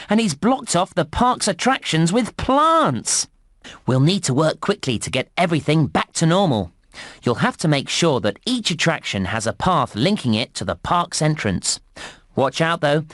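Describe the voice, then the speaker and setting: A man's voice: on the high side, no room sound, studio quality. A man voicing a cheerful, encouraging theme park guide, narrating a children's game in a scripted, presenter-like delivery. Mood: eager, briefing.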